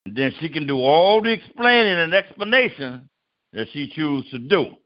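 A man's voice: fairly high pitch, animated, normal speed, no echo, dull audio. A man hosting an open mic poetry show, speaking in a rhythmic, declamatory MC style. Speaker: host.

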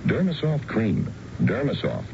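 A deep-voiced man announces a product from a script, with sweeping, highly animated intonation. The radio recording is dull and clearly noisy. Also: a little slow. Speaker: commercial announcer.

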